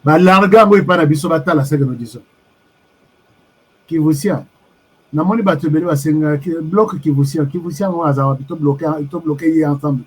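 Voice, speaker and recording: A Congolese man talks straight to his online viewers, his voice slightly husky and pitched a little high for a man. He keeps his commentary animated, drops in Lingala phrases and reacts to comments as they come in. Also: a little fast, poor audio. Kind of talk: live-streamed political commentary.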